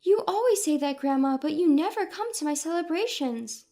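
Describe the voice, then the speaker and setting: An earnest young bride-to-be speaking as a loyal granddaughter, voiced by a female narrator. Her voice is high and animated, with a plaintive edge, over soft music in an audio story. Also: moderate pace. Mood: hurt.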